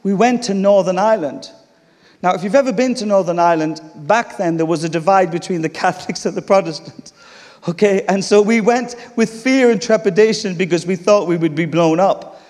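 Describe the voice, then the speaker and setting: A man's voice: sits high, a little fast. A man with an English accent preaching a sermon, telling a funny story with laughs before turning to teaching. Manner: humorous, animated storytelling with laughter. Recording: long reverb of a large hall.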